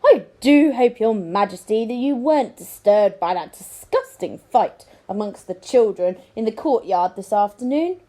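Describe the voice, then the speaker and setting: A woman's voice: on the high side, expressive, normal speed, few pauses, warm tone, lightly acted. A woman voicing a scheming male lord in a storybook, with a formal, falsely deferential and oily manner. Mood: feigned concern. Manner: oily, feigned concern, formal. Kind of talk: children's storybook character voice.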